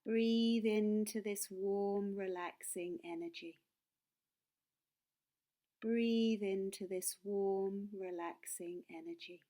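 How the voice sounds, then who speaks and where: A woman guides a meditation at a very slow pace. Her voice sits at a medium pitch and she sounds calm and soothing. She delivers short, deliberate phrases with pauses between them. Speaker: meditation and yoga teacher.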